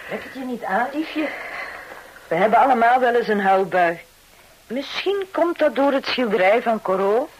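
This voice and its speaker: A motherly, worldly-wise lady of the house in an old radio drama. Her voice is slightly husky and swings animatedly as she chats and soothes. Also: fairly high pitch, expressive, moderate pace, poor audio. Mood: soothing, motherly.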